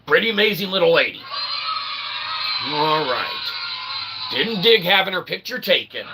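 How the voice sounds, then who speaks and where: Slow, animated man hosting a rambling show. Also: high voice, heavy background noise. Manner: mock-grandiose, wordy, halting. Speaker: host of a comedic internet show. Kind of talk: rambling tongue-in-cheek monologue.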